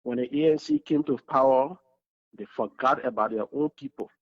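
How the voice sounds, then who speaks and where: A man's voice: mid-range voice, normal speed, no echo. A man with a Liberian English accent calling in to a talk show, speaking earnestly and often repeating words as he searches for them. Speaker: caller. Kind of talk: emotional call-in testimony. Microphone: phone call. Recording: dull phone line.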